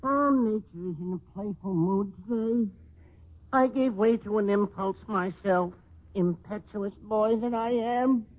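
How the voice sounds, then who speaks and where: A man's voice: high voice, measured pace, narrow, tinny audio. An American actor playing a giddy, fussy postman with flowery, mock-poetic speech, in old radio comedy style on a scratchy recording. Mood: dreamy, cheery.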